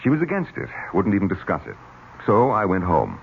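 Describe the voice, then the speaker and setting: Through the muffled hiss of an old radio recording comes a smooth, guarded man of business. His voice is very deep and swings widely in melody. The delivery is glib, theatrical radio mystery acting. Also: wildly swooping pitch, brisk, full character voice. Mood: guarded, firm. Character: smooth, guarded nephew and mill manager.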